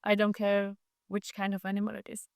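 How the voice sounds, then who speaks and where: A woman's voice: medium pitch, completely flat delivery, a little fast, creaky, clean tone, no room sound, studio quality. A woman from Switzerland, answering questions about a tour in a cheerful, chatty way. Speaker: tour participant.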